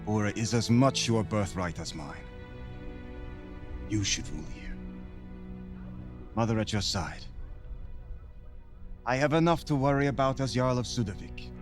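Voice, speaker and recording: An actor playing a young, vengeful Viking warrior in a game cutscene, given to shouted battle threats. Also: male voice, lowish voice, unhurried, long pauses, music in the background, lightly acted. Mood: gentle. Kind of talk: video game cutscene acting.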